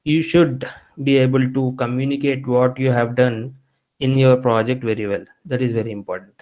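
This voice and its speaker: A man's voice: medium pitch, fairly even intonation, normal speed, a little mellow, poor audio. Man giving career advice. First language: Telugu. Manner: conversational, repeats words and restarts sentences. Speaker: guest speaker. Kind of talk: career advice talk to students.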